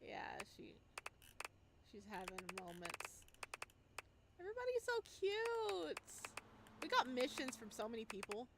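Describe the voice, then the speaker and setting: A woman doing playful, expressive gameplay commentary, slipping between reading text aloud and exclaiming in singsong asides. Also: high-pitched, measured pace, creaky, long pauses, very noisy. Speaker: game streamer.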